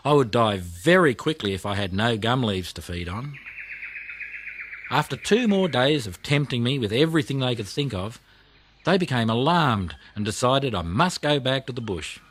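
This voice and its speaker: A motherly female koala from a children's storybook, acted out by a man. She tells her tale dramatically and plaintively, with lively swings of pitch. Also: slight background noise, natural voice. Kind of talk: children's audiobook character reading.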